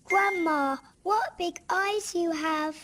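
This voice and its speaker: A woman's voice: very high-pitched, slow, fairly bright tone, heavily acted. A woman playing a sweet, innocent little girl in a children's storybook cartoon, with a wondering manner.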